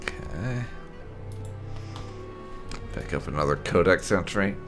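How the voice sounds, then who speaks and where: A man's voice: deep voice, lively intonation, slow pace. A man giving casual, roleplay-flavored video game commentary, musing aloud as he plays. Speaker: gaming channel host. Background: game music.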